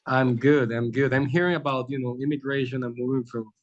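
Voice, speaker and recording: A man's voice: medium pitch, fairly even intonation, fairly quick, dry recording. A man hosting a business webinar over a video call, easygoing with loose phrasing. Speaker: webinar host. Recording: dull, muffled video call audio.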